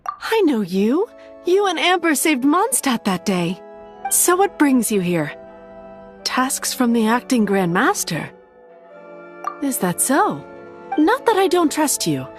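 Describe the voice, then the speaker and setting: Prim, expressive cathedral nun. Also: female voice, high voice, soft background music, full character voice. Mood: formal, dismissive. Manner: formal, prim, measured wording. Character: devout, officious young cathedral nun, politely dismissive. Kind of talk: video game voice acting.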